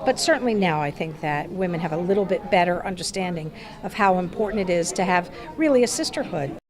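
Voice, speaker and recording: A woman's voice: on the low side, lively intonation, brisk. A woman speaking reflectively as an interviewee in a news report. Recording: busy crowd chatter behind.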